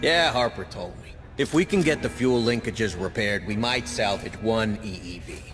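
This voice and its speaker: An actor playing a gruff, grudging military quartermaster in video game dialogue, with a folksy manner. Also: male voice, lowish voice, expressive, normal speed, a bit bright. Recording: long hall reverb, faint music behind.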